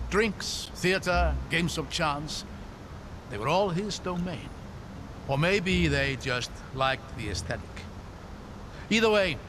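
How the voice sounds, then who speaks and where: Lively, higher-pitched older scholarly adventurer. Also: male voice, background noise. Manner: courteous, wry, expressive storytelling delivery. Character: spry, scholarly older male traveler, talkative and good-humoured. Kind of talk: cinematic video game acting. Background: background music.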